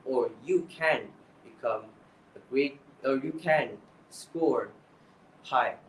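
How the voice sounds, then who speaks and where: A man's voice: medium pitch, slow pace, distant mic, slight background noise. Young Malaysian man coaching, echoey room. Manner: earnest, repeats and restarts phrases. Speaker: English speaking coach. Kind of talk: exam tips talk.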